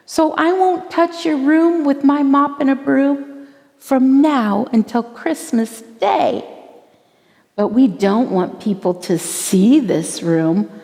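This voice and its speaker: A woman reads the part of an exasperated storybook mother with a raised, fairly high voice. She scolds in measured, emphatic phrases and reproachful questions. Long reverb surrounds her. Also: gravelly, mellow, full character voice. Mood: exasperated scolding.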